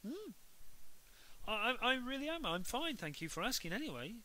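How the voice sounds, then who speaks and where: A man voicing a cheeky schoolboy who pretends to be perfectly well-behaved, with high, overly polite protests. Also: sing-song, moderate pace, sharp tone, heavily acted. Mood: sugary innocent. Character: cheeky schoolboy putting on good manners.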